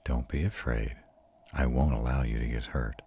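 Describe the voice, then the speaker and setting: A man's voice: rumbling low, steady tone, moderate pace, darkish tone, a little hiss or hum. A man voicing an arrogant but tender vampire mentor in an intimate roleplay audio drama, soothing and reassuring his mate. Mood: gentle, reassuring.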